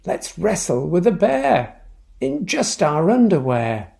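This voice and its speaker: A man voicing a scornful, excitable Viking adventurer who hollers in a children's storybook. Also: sits high, slow pace, gravelly, full character voice. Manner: hollering, exclamatory.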